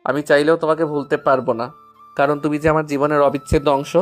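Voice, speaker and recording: A man's voice: on the high side, level tone, fast, faint music behind, natural voice. A man playing a proud, betrayed young lover in a romantic audio story. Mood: tender, devoted. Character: angry betrayed young male lover, proud but softening.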